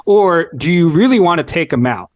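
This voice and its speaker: A man's voice: a little high, expressive, moderate pace, no room sound. A man hosting a podcast, asking long, curious, rambling questions in a casual interview. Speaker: podcast host.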